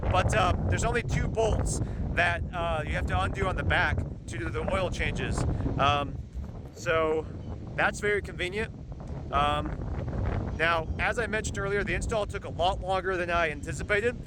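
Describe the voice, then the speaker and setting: Casual vlogger, male, somewhat high-pitched, loose and filler-heavy, recorded outdoors with heavy wind rumble on the mic. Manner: rambling, halting with frequent ums and uhs. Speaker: car parts reviewer. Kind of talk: casual product review vlog. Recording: loud wind noise on the mic. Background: gusting wind.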